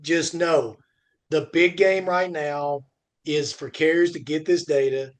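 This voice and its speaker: A man in casual podcast conversation about his trade, talking in a halting, emphatic way and often repeating himself. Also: fairly high pitch, fairly even intonation. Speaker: podcast co-host. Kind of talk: conversational industry podcast talk.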